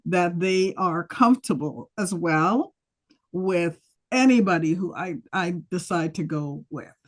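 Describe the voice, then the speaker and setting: A woman's voice: on the low side, animated, unhurried. A woman, a prospective client on a video call, speaking hesitantly with many fillers and restarts.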